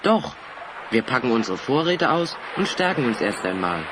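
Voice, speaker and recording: Bold, animated adventure hero played by a man. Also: mid-range voice, moderate pace, noisy background, natural voice. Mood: cocky. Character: bold, confident male adventurer leading his friends. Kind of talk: German children's audio drama acting.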